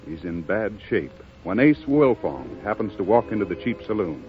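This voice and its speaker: A man's voice: fairly low pitch, sing-song, normal speed. A man giving scripted, dramatic narration for a 1930s radio play. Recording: muffled lo-fi 1930s radio broadcast. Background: faint music.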